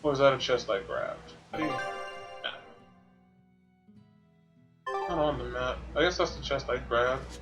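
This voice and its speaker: A man's voice: medium pitch, a little slow, pauses often, over music. A man streaming a video game, giving informal commentary and thinking aloud as he plays. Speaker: gaming streamer. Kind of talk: casual Let's Play commentary, sometimes reading game text.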